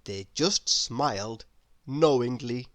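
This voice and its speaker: A woman's voice: very deep voice, slow pace, no room sound, clean studio sound. A woman narrating a children's story read-aloud expressively, addressing young listeners with playful questions.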